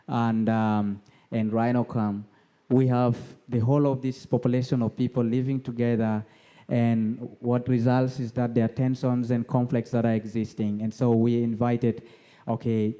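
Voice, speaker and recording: Man, East African accent, very soft dark tone, halting explanatory delivery, conference presenter. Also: mid-range voice, smooth. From South Sudan. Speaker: conference speaker, NGO co-founder. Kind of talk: prepared presentation.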